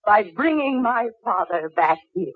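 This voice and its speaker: A woman's voice: medium pitch, animated, a little slow, slightly rough. An actress playing an earnest, anxious woman in a melodramatic old-time radio mystery. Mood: accusing. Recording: dull, hissy vintage radio recording.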